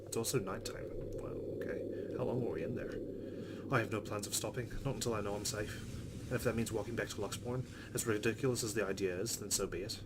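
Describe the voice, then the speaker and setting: Low-pitched man hosting a game playthrough. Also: heavy background noise. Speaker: let's play host. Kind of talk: casual game commentary and reading story text aloud. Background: game music.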